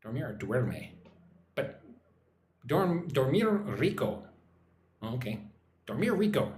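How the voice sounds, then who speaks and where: An American man runs a relaxed English lesson on a livestream, reading out viewer comments and chatting back by name. His voice rises and falls in an animated way. The room has a noticeable echo with a faint hiss underneath. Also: medium pitch, slow. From United States. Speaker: English teacher. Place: home in Vietnam.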